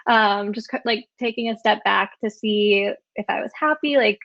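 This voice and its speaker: A woman's voice: medium pitch, normal speed, creaky, fairly bright tone, no echo. A woman around thirty casually introducing herself over a video call, with lots of "like" and "um.". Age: 30s. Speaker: design graduate under review. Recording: dull dry call audio.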